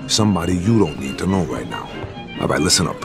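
A cool American gangster boss figure in a crime game. The voice is deep and firm, laying out orders matter-of-factly. Music is clearly heard behind it. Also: male voice, animated, fast. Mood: commanding. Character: cool, commanding male mobster giving out jobs. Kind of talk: video game cutscene acting.

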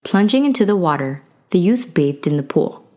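A woman's voice: lowish voice, animated, moderate pace, dark tone. A woman storyteller reading a folktale as scripted narration.

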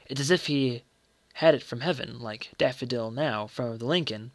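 An earnest, loyal manservant, voiced by a male storyteller speaking respectfully and colloquially. His voice is creaky and confiding, recorded very dry as in an audiobook. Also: medium pitch, normal speed, dry recording, close to an everyday voice. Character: deferential, earnest manservant, hushed and reverent about secrets.

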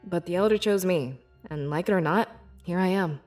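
A woman playing a young, devoted beast-folk scribe in a fantasy video game, with earnest, measured and expressive delivery. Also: on the low side, faint music behind, close to an everyday voice. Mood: reflective, sincere. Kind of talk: scripted video game character dialogue.

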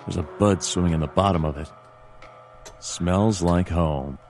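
A world-weary cartoon detective narrating a noir adventure game. His voice is low and deadpan, laconic and dry, over clearly audible music. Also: unhurried. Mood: dry, observant.

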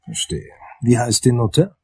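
An actor playing a brusque, impatient private detective in a crime radio play, husky and curt. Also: male voice, mid-range voice, very animated, a little slow, slight background noise. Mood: businesslike. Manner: curt, businesslike.

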